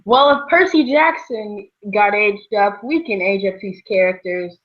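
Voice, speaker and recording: A woman's voice: mid-range voice, measured pace. A young woman co-hosting a casual, chatty discussion about books. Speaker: book discussion show co-host. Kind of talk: casual book chat. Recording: dull, lo-fi sound.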